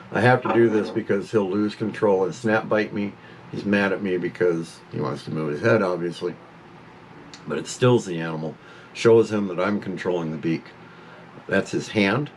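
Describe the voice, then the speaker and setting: A man's voice: deep voice, a little hiss or hum. A man in his fifties talking casually to camera at home about his pet parrot, rambling and stopping to scold the bird. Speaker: pet owner vlogger.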